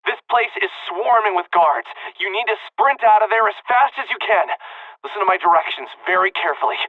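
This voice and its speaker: An impatient young male guide in an anime-style game, giving urgent directions. His voice swings in pitch and sounds dry and a little dull, like over a radio. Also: expressive, brisk, dry recording. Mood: urgent, commanding.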